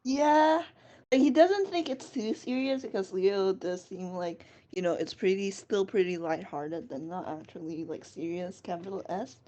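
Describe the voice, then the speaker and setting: A woman's voice: mid-range voice, moderate pace, warm tone, lo-fi sound. A woman with a Singaporean English accent, chatting loosely with fellow roleplay players, rambling with lots of fillers. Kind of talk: casual chat between players.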